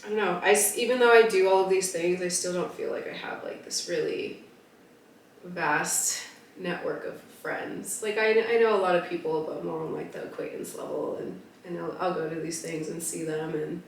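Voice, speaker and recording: A woman co-hosting a podcast in casual chat, her voice still deep from a cold, hesitant and giggly. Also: steady tone, normal speed, recorded from a distance. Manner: hesitant, many restarts, giggly. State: recovering from a cold, voice deeper. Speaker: podcast co-host. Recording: echoey room, voice a bit off-mic, faint noise.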